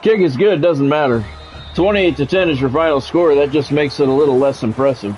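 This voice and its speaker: A man's voice: a little high, fairly quick, darkish tone, faint music behind, low-quality recording. A man doing live play-by-play of a football video game, mixed with blunt, salty, conversational asides. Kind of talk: live sports play-by-play commentary mixed with casual chat.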